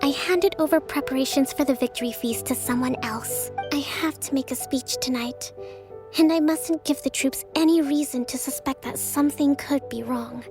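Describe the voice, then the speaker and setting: A woman voicing a gentle, weary young priestess who softly confides her self-doubt in a measured, earnest way for a video game. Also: high voice, music in the background. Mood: resigned, composed.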